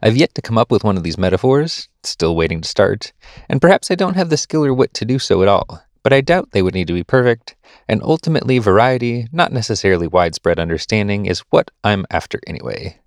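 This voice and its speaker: A man with a fairly low voice reads prepared podcast commentary. His pitch moves expressively through each sentence, and the sound is dry and close, with very little room in it. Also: lively intonation, fast, no room sound. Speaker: podcast presenter reading editorial and news. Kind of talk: scripted reading of essay and news.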